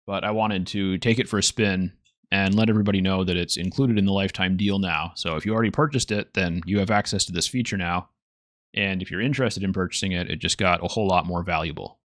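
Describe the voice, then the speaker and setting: A man with a low voice narrates a software tutorial. He explains things casually and matter-of-factly, and the sound is dry, with hardly any room to it. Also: no echo. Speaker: host, software reviewer.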